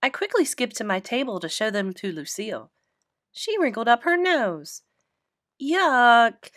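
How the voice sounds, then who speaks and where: A woman narrating a children's book aloud in an expressive read-aloud style. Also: on the high side, moderate pace. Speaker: storybook reader.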